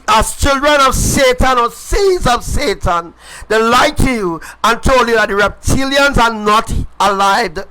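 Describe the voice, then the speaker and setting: High-voiced man preaching emphatically. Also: poor audio. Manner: emphatic and repetitive, swinging between loud declarations and low asides. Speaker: self-styled prophet. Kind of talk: live-stream preaching.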